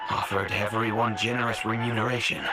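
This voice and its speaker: An actor playing a kindly, regretful middle-aged gentleman in a video game, speaking in a measured, formal, reflective way with hesitant pauses. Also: on the low side, level tone, heavy background noise, close to an everyday voice. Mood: reflective, sombre. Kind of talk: video game dialogue acting, recounting the past. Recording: loud background music throughout. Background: music.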